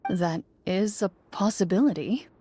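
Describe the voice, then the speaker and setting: A woman voicing a serious, composed game master who narrates in a formal, deliberate, deadpan way for a video game. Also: medium pitch, slight background noise, lightly acted. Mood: matter-of-fact.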